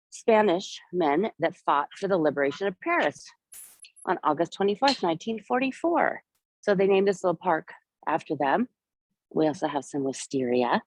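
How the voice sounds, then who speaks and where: A woman with a slightly low voice, hoarse from a spring cold, leads a live walking tour through city streets and a small park. She talks in a chatty, meandering way, stopping to point things out. Her voice sounds dry and close with no room echo.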